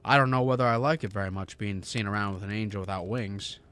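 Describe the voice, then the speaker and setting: A man's voice: lowish voice, fast talker, fairly bright tone, smooth, no echo, close to an everyday voice. A man playing a despairing, skeptical everyman in a radio drama, wry and stammering. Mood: bitter, sardonic. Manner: stammering, sardonic, incredulous.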